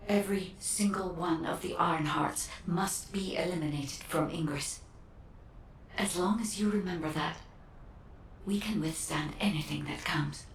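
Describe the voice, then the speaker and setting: Devoted artificial intelligence, video game, sincere, formal, slightly low, distant sound. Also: female voice, vocal fry. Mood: earnest encouragement. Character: gentle, earnest, devoted female artificial intelligence guide. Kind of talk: scripted video game dialogue. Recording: roomy, distant, faint noise.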